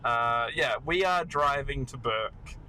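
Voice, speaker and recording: A man's voice: mid-range voice, expressive, slow pace, bright, forceful tone, low-quality recording. An Australian man in casual chat, talking in an informal, rambling, joking way while riding in a car. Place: inside a car being driven. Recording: clear road noise inside moving car.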